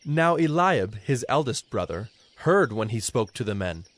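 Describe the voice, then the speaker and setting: A man narrating scripture from a script in a measured, formal manner. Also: medium pitch, sing-song, a bit bright.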